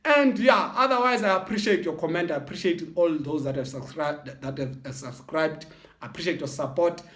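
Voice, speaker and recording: A South African man from the Eastern Cape, a football channel host, speaking in a casual reflective monologue with halting restarts and repeated words. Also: on the high side, fairly bright tone. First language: Xhosa and Sotho mix. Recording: echoey room.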